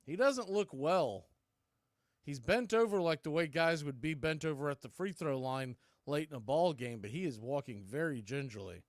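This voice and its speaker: A man's voice: on the high side, animated, normal speed, dry recording, clean studio sound. A man announcing baseball in a play-by-play commentary style.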